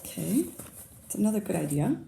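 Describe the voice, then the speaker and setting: A woman teacher reading a picture book aloud to young children, pausing to comment and ask them questions. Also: medium pitch, very animated, creaky, mellow, slight background noise.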